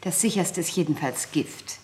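A woman's voice: sits low, animated, normal speed, a little mellow. An actress playing a drily murderous, scheming genteel lady in a radio play, terse and knowing. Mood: drily insistent. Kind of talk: German radio play acting.

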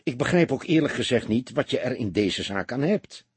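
A man's voice: mid-range voice, lively intonation, normal speed, slightly rough, no echo. A man voicing a young police detective, a puzzled and wary junior partner in an audiobook, questioning and slightly defensive. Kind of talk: audiobook character dialogue.

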